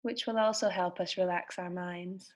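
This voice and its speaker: A woman leading a guided meditation, speaking in a soothing, gentle manner with unhurried phrases and pauses. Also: fairly low pitch, steady tone.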